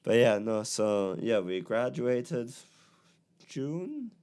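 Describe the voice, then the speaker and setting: A young man chatting casually as a podcast co-host, hoarse as he gets over a cold, with frequent fillers and laughs. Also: medium pitch, sing-song, very slow, darkish tone. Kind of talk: casual chat.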